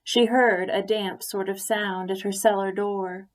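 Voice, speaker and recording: A woman reading a children's story aloud expressively, deliberately, with pauses for emphasis. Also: mid-range voice, level tone. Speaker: story reader. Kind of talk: expressive picture-book read-aloud.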